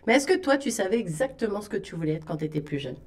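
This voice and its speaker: A woman's voice: medium pitch, expressive, fast talker. Chatty Parisian woman radio host. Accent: Parisian French. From France. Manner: chatty, friendly questions. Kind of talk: radio interview.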